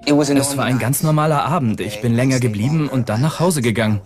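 A man's voice: medium pitch, a little fast, lightly acted. Actor as young male crime suspect, a defensive boyfriend in a dubbed reenactment over music. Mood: guarded. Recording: music behind the voice.

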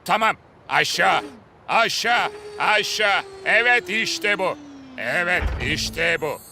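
A man's voice: sits high, lively intonation, slow, creaky, sharp tone, a little hiss or hum, exaggerated voice. A man voicing a bossy schemer in a cartoon, handing out commanding, clipped orders. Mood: bossy, impatient. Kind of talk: animated cartoon dub acting.

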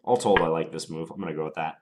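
Deep-voiced man calmly analysing chess moves. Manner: calm, measured, analytical. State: has a slight cold. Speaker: chess commentator playing online. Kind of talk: thinking aloud during a chess game.

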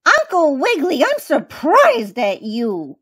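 A woman voicing a prim lady mouse schoolteacher with a squeaky voice, politely scolding in a children's storybook. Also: huge pitch swings, takes it slowly, fairly bright tone, heavily acted. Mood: disappointed. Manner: polite, reproachful.

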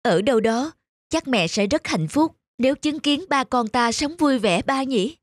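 Caring, high-voiced young daughter. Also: female voice, animated, measured pace, dry recording, clean studio sound. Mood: tender. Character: caring grown daughter, gentle and coaxing young woman. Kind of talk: cartoon dubbing.

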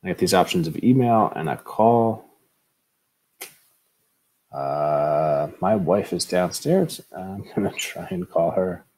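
A middle-aged man giving a casual screen tutorial in a halting, informal way, on an earbud microphone at home. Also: sits low, lively intonation, a little mellow. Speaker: tutorial presenter, educator. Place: room at home, indoors. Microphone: earbud headset microphone.

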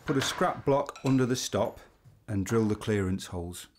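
A man giving measured, step-by-step woodworking instruction as a scripted studio voice-over. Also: on the low side, slight background noise. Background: music.

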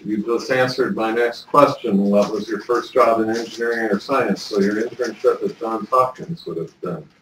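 A man's voice: fairly low pitch, moderate pace, hardly any pauses, a bit bright, slight background noise. A middle-aged male interviewer asks friendly, prompting questions across a table. He is some distance from the mic, and the office room is echoey around him. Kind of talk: oral history interview. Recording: roomy, distant office recording.

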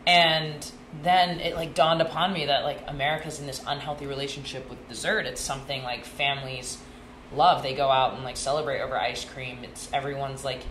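A woman's voice: a bass voice, flat delivery, fairly quick, a bit bright. Chatty woman founder, echoey sunlit room. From Hong Kong. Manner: chatty, many ums and likes. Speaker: company founder hosting podcast. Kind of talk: casual storytelling. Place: sunny glass-walled room, indoors. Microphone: small desk mic on stand. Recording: echoey room, faint hiss.

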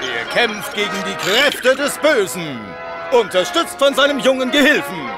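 High-pitched superhero show announcer over music. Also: male voice, sing-song, measured pace. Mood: heroic. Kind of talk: dramatic cartoon show-intro announcing.

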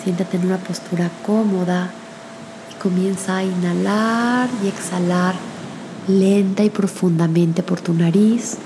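A woman leading a guided meditation and prayer in a soft, gentle, soothing manner with long pauses between phrases. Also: on the low side, steady tone, background noise.

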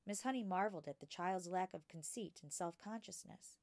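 A woman reading a children's book aloud as a storytime narrator, expressive and clearly enunciated. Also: fairly low pitch, fairly quick, no echo. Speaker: storytime reader.

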